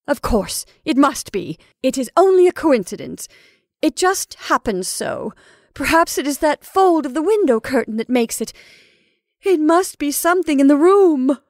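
A woman's voice: high voice, very animated, normal speed, darkish tone, dry recording, studio quality, voice pushed up. A woman voicing an easily alarmed married lady in a story, agitated and fearful. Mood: uneasy.

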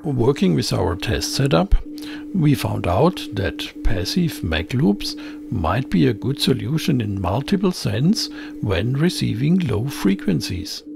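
A man presenting a scripted technical tutorial as voice-over, speaking in a measured, explanatory manner into a close microphone. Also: medium pitch, very animated, gravelly, music in the background. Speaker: presenter of an electronics tutorial channel.

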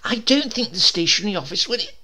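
A man voicing a thin-voiced male civil servant who sounds calm and reasonable. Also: high voice, fast talker, breathy edge, voice pushed up, full character voice. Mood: dry.

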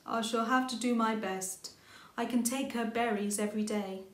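A woman voicing a kindly, calm, helpful old grandfather rabbit in a storybook reading. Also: on the high side, moderate pace, close to an everyday voice. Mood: resigned. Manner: calm, encouraging. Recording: roomy home recording.

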